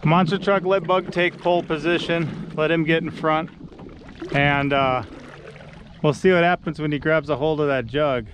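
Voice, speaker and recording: A middle-aged man filming outdoors on a lake with an action camera, excitedly coaching his young son through landing a fish. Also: on the high side, normal speed, noisy background. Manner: encouraging, excited, coaching a child. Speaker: vlogger. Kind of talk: casual coaching commentary.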